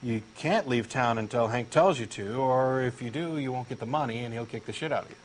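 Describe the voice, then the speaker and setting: A man's voice: medium pitch, a little fast, a little hiss or hum, poor audio. A man with a Texan accent reading a comic dialogue as an eager, insistent believer. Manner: eager, insistent true believer, earnest and pushy. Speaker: co-host doing a comic dramatic reading.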